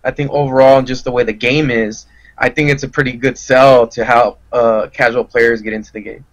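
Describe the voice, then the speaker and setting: A man's voice: medium pitch, fairly even intonation, fairly quick, rough recording. A man chatting casually as a podcast guest, rambling in a hesitant, self-deprecating way. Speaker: podcast guest, fighting game player. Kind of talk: casual chat.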